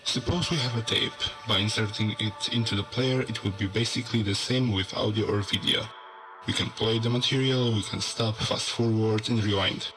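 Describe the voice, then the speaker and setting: A man playing a sober narrator who lays out an ominous theory in a measured, expository horror narration. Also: on the low side, fairly even intonation, close to an everyday voice, rough recording. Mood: grave, explanatory. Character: male narrator soberly presenting a theory of apocalyptic anomalies. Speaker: narrator of an analog horror video. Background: background music.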